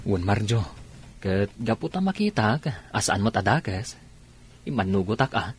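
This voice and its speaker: Actor as husky, earnest Ilocano village driver. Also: male voice, lowish voice, expressive, normal speed, faint music behind. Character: bashful village male driver, earnest and helpful. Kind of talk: Ilocano radio drama acting.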